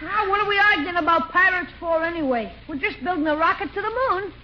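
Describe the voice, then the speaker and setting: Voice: very high-pitched, quick pace. A performer playing a bossy, wisecracking young boy in 1940s radio comedy, brash and slangy. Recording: muffled, hissy 1940s radio recording.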